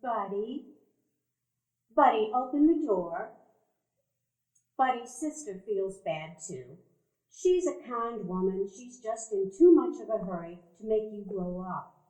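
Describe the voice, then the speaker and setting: A woman acting a timid, soft-hearted older woman in a stage radio play, speaking in a soft, pleading way. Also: mid-range voice, lively intonation, slow pace, long pauses. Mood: coaxing. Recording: roomy event hall.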